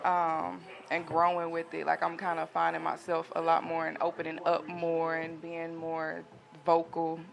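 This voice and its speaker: Low gravelly Southern young woman. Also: monotone, normal speed, hardly any pauses. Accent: Southern US, Tennessee. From Jackson, Tennessee. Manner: shy, soft-spoken, laughs often. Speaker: singer guest. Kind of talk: casual interview.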